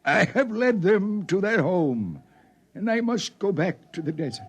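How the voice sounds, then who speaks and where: Dying old desert prophet, high strained voice, sweeping pitch, solemn biblical preaching for vintage radio drama. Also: male voice, sing-song, background noise, exaggerated voice. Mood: solemn, prophetic. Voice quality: coughing, strained. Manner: preaching, biblical, halting delivery. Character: dying old prophet, solemn wild-haired leader of Martians. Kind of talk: 1950s radio drama acting.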